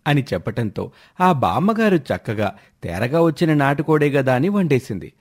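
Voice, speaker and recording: A man narrating a scripted moral tale as an expressive storyteller. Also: medium pitch, normal speed.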